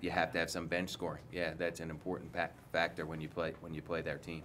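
Low-voiced coach, candid and rambling. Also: male voice, monotone, fast talker, a bit bright, a little hiss or hum. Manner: candid, rambling explanations with many restarts. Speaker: head basketball coach. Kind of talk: post-game press conference answers. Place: indoor press room, seated at a table.

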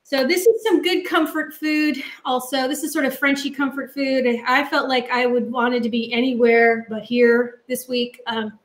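A woman's voice: sits high, fairly even intonation, normal speed, few pauses, echoey room. A middle-aged woman cooking in her home kitchen and chatting on a video call, rambling casually with lots of ums. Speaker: guest cook. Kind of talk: casual cooking demo chat. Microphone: laptop webcam on a video call.

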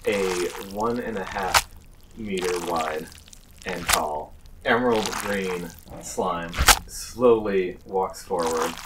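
A man runs a tabletop game in a low voice. He narrates slowly and haltingly, with amused asides, sitting a little back from the mic. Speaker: game master. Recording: slightly distant mic, faint noise.

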